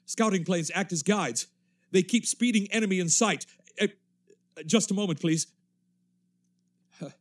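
A high-pitched, clipped radio newsman. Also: darkish tone. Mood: urgent, slightly flustered. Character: urgent male radio news announcer reading war bulletins. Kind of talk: 1930s radio drama news bulletin.

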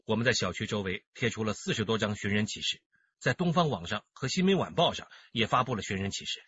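Worried, deferential grown son, hoarse-edged hesitant delivery, dull radio-drama recording. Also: male voice, lowish voice, expressive, fast, a bit bright, full character voice. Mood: weary, earnest. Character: anxious, timid grown son reporting to mother.

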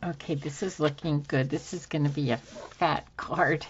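A woman hosting a casual crafting video at her craft table, a bit hoarse, thinking aloud as she works with many ums. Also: low-pitched, fairly even intonation, normal speed, lo-fi sound, a little hiss or hum. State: slightly hoarse from dry throat. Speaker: craft channel host. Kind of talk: casual crafting tutorial chat. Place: home craft table, indoors.

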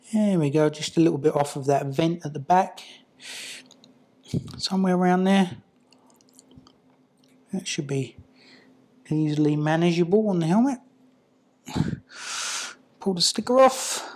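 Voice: medium pitch, a little mellow. A person giving an informal hands-on installation tutorial, muttering to themselves while working. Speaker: product installer and reviewer. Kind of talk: casual hands-on tutorial narration.

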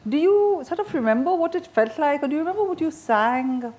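A woman's voice: high voice, expressive, a little fast, a little mellow. An older woman with an Indian accent, interviewing in a casual, conversational style as host. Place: indoor bar lounge set.

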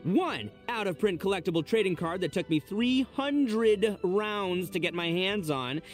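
A man's voice: high voice, expressive, a little slow, soft background music. A man voicing a brash, boisterous young oni gang boss in a video game, cocky and theatrical with slangy showboating. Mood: proud, eager. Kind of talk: animated video game character voice lines.

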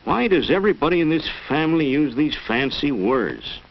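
A man's voice: fairly high pitch, big pitch swings, normal speed, gravelly, exaggerated voice. An actor playing a flustered, plain-spoken middle-aged American family man in a 1940s educational film, with a muffled period soundtrack. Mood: exasperated.

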